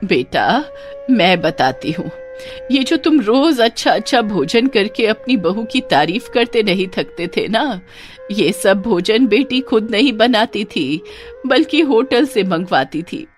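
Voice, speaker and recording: Elderly poor mother-in-law, done by a female narrator: gentle, plaintive storybook figure, drawn-out delivery, music underneath. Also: mid-range voice, animated, lightly acted. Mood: reproachful.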